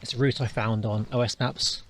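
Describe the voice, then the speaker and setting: A man's voice: mid-range voice, steady tone, normal speed, a little hiss or hum. Man chatting on an outdoor hike. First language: not a Welsh speaker. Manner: chatty, thinking aloud with small restarts. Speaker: hiking vlogger. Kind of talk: walking vlog narration. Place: outdoors on a hillside in the countryside.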